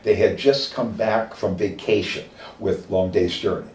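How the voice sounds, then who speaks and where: An older man with a Midwestern accent, recalling his acting training in an oral history interview, reflective and halting with many fillers. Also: on the low side, fairly even intonation, darkish tone. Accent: Midwestern American. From American Midwest. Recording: roomy distant mic with faint noise.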